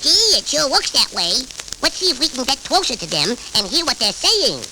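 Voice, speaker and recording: A man's voice: very high voice, very animated, moderate pace, noisy background, heavily acted. A man voicing a tiny, innocent baby cartoon canary, sweet and persuasive with babyish speech, on a vintage children's record. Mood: eager. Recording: vintage 78rpm record with music.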